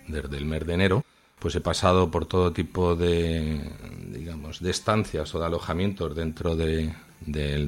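A man with a Madrid Spanish accent, answering as an interviewed guest on a radio show in halting, measured phrases. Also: low voice. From Madrid, Spain.

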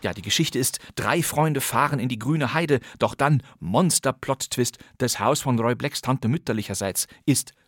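A man's voice: medium pitch, normal speed, hardly any pauses, fairly bright tone, dry recording, studio quality. A man with pronunciation hosting a scripted radio podcast in a wry, emphatic way. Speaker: podcast host.

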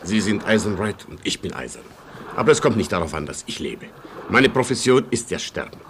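A man's voice: lowish voice, sing-song, a little fast, fairly bright tone. A man playing a proud, gallant Polish colonel in a German radio play, with a Polish accent and solemn, formal delivery. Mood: proud, resolute. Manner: solemn, formal, old-fashioned declaiming. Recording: noisy old radio play recording.

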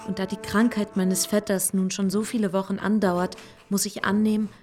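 Eine Schauspielerin spielt in einem Hörspiel eine ernste, bescheidene junge Frau, die sorgfältig und förmlich spricht. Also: female voice, mid-range voice, level tone, moderate pace, a little mellow, soft background music, natural voice. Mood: restrained concern. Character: earnest, dutiful young woman, modest and anxious. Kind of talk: radio drama acting.

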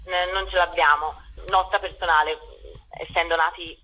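A woman interviewed by phone as a theatre director, talking casually with halting, hesitant phrasing. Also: fairly low pitch, steady tone, bright, forceful tone, poor audio.

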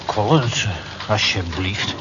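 Radio play: reserved, reluctant office man, lowish voice, dull lo-fi sound. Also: takes it slowly. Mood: mildly protesting. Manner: reluctant, mild, subdued. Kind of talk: radio drama acting. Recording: dull, noisy old radio recording.

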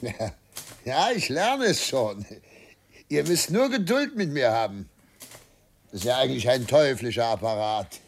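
Old governor, doting father, genial, self-mocking, musing delivery, middle pitch, radio drama. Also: big pitch swings, unhurried, pauses often, slight background noise. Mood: bemused, patient.

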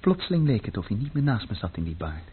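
A man's voice: fairly low pitch, big pitch swings, fast talker, slightly rough, full character voice. An actor playing a searching, brooding runaway son who tells his own story in a radio play, plainly and introspectively. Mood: brooding, reflective. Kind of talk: radio play narration and dialogue. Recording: muffled, phone-like old radio recording.

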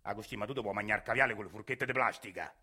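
A man's voice: lowish voice, very fast, bright, forceful tone, husky, pitched below the performer's own voice, full character voice. A male stage actor playing a sly, menacing false friend who talks with a condescending Roman drawl. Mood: smooth, persuasive.

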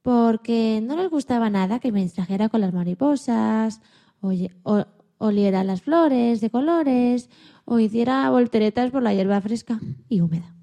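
A woman voicing a timid, hopeful young male dog who tells his own story in a children's radio play, expressive and a little halting. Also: fairly high pitch, close to an everyday voice. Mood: wistful storytelling.

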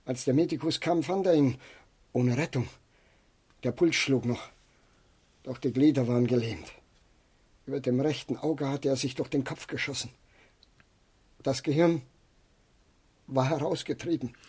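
An old manservant in a radio drama, husky and distraught. He addresses his master with agitated deference, and his medium-pitched voice is rough at the edges. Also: measured pace, pauses often, a little mellow. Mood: shaken, reporting. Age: older. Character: distraught old manservant bringing grim news. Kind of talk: German radio drama acting.

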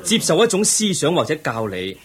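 A man acting in a Cantonese radio drama as a young prince seeking truth, earnest and measured, with a Hong Kong accent. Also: a little high, very animated. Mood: earnest, firm. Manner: measured, earnest, declamatory, preaching.

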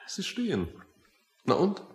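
An actor playing a blunt, dismissive skeptic in a satirical radio play, dry and questioning. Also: male voice, high voice, huge pitch swings, slow, full character voice.

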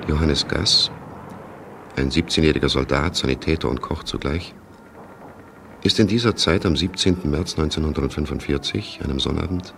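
A man's voice: a bass voice, normal speed, vocal fry. A man delivering sober, factual, documentary-style scripted narration in a radio play. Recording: noisy, war sounds behind.

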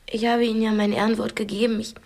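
A woman's voice: on the high side, level tone, fast talker, darkish tone, close to an everyday voice. An actress in a radio drama playing a proud, cultured young woman held captive, articulate, candid and critical. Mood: pleading, strained.

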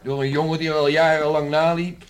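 An actor playing a middle-aged village father, gruff, protective and emphatic, in a Dutch radio drama. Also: a little high, flat delivery, brisk, lightly acted. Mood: indignant.

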